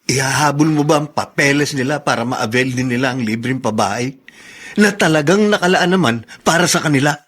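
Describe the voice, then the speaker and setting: A man voicing a calm friend who asks gently probing questions in an audio drama. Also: mid-range voice, brisk, breathy edge. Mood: questioning. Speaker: voice actor.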